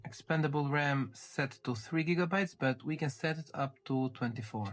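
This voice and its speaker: A man's voice: a little high, normal speed. A man giving an informal, step-by-step tech review commentary as he handles a device. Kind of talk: casual unboxing walkthrough commentary.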